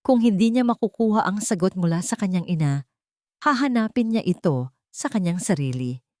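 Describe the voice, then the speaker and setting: A woman narrating a dramatic love story in an expressive storytelling manner. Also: medium pitch, normal speed, dry recording, clean studio sound.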